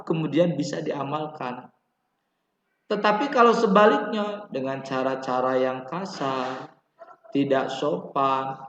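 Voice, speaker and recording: A man teaching with a Sundanese accent, his voice sitting a little high for a man. He talks casually and slows down on the points he wants to land. The sound is roomy and somewhat muffled, like a lo-fi classroom recording. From West Java, Indonesia. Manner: conversational, slows to stress key points, drifts into Sundanese asides. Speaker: religious studies teacher. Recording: echoey room, muffled lo-fi sound.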